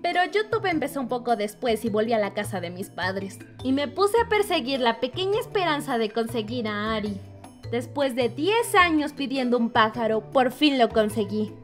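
A high-voiced young cartoon narrator, voiced by a woman, excitable and obsessed with animals. She tells childhood stories in a playful, comically dramatic way, with music playing behind her. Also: moderate pace. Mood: upbeat nostalgic. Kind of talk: animated storytime narration, Spanish fandub.

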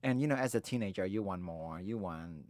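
Low-voiced Vietnamese-accented young man, earnest. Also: expressive, a little mellow, dry recording. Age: 20s. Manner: earnest, halting, many restarts. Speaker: interviewee. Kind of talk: personal storytelling conversation.

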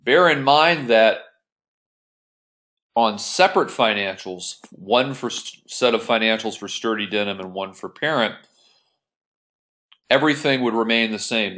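A man with a fairly low voice gives an explanatory screen-share tutorial. He talks as an instructor, taking things methodically in informal, plain wording. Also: a bit bright. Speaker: accounting instructor.

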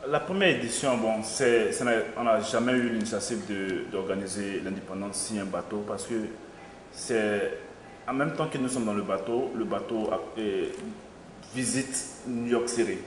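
A man's voice: on the low side, normal speed. A young man, an event organizer, answering interview questions hesitantly with many fillers. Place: small studio with logo backdrop. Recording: echoey studio room, faint noise.

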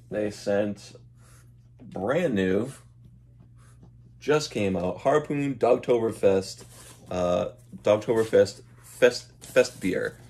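Guy in his 30s, kinda low voice, laid back vlog chat in a room at home, bit hesitant. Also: darkish tone. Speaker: vlogger and dog owner. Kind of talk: casual unboxing chat to camera. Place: small room at home, indoors. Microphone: camera mic at arm's length.

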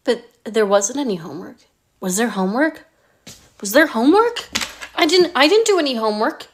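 A woman's voice: a little high, animated, fairly quick. A woman performing a playful, self-mocking comedic skit. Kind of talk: comedic short-video skit.

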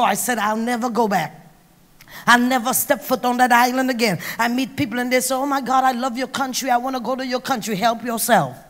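Woman preacher from Trinidad, middle-aged, handheld mic, emphatic delivery, echoing banquet hall. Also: on the high side. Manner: emphatic, dramatic swings from hushed to loud. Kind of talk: sermon. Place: large banquet hall on stage. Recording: long hall reverb.

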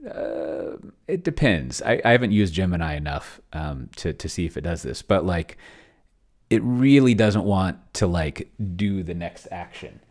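A man's voice: deep voice, animated, unhurried, a little mellow. A man giving casual screen-share commentary, thinking aloud in a rambling, hesitant way with wry, self-deprecating humour. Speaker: solo tabletop roleplayer, video host. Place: indoors at a computer, screen recording.

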